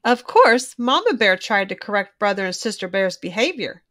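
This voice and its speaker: A woman reading a children's storybook aloud in an expressive, emphatic way, drawing out key words. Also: mid-range voice, normal speed. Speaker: children's book reader.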